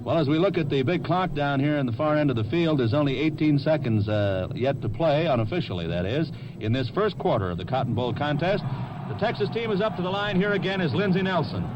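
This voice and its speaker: An American man announcing on 1950s sports radio, with measured, formal phrasing. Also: a little high, lively intonation. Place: outdoor football stadium. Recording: muffled, very noisy 1950s radio recording.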